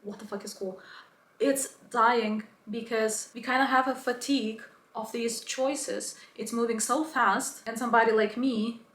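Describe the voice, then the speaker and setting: A woman's voice: on the high side, steady tone, unhurried, fairly bright tone, reverberant. A woman talking in a chatty, opinionated way, as in a casual explanatory video essay. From Lithuania. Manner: chatty, opinionated, mild swearing.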